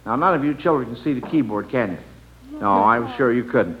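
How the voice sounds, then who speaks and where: A man's voice: medium pitch, lively intonation, a little fast. A man hosting an old-time radio quiz for children, chuckling and patiently prompting the contestants. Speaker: quiz show host. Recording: dull, noisy old radio recording.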